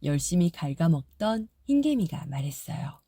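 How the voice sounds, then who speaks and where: A woman narrating a fairy-tale audiobook in a soft, gentle storytelling manner. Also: low-pitched, very animated, normal speed, breathy edge, no echo, clean studio sound.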